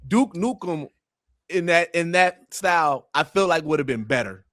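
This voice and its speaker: A man with a high, very expressive voice shares opinions in casual podcast chat. He thinks aloud in a halting way, recorded close and dry. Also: no echo. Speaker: podcast panelist.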